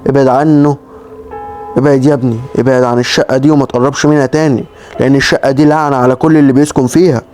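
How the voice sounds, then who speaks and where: A man's voice: medium pitch, fairly even intonation, racing, darkish tone, faint music behind, lightly acted. A man with an Egyptian Arabic accent voicing a worried older male passerby who gives urgent warnings.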